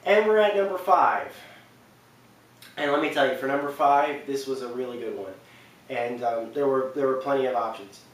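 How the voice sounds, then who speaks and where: A man's voice: mid-range voice, moderate pace. A man in his twenties giving a casual, unscripted game countdown to camera, rambling earnestly and often correcting himself. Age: 20s. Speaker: video game list host. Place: indoor living room on a couch. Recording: echoey room, distant mic, faint noise.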